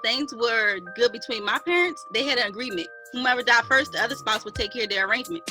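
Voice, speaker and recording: A grieving, defiant younger sister acted by a woman in a family drama. She speaks high and forcefully, indignant and emotional, over faint music. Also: fast talker. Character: Joy, grieving, defiant younger half-sister standing her ground. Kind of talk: online dramatic play reading.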